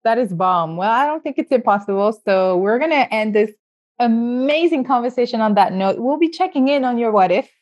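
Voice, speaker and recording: A woman's voice: on the high side, expressive, a little fast, a little mellow. A woman hosting a podcast, asking long, rambling questions in a chatty way and laughing often, with a slightly dull sound. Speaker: podcast host. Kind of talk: casual interview hosting.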